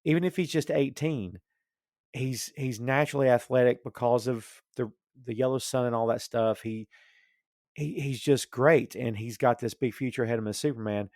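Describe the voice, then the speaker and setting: A man's voice: mid-range voice, moderate pace, a little mellow, no room sound. Man chatting casually on a dry recording. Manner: rambling, thinking aloud. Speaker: podcast host discussing comics and film. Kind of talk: casual opinionated chat.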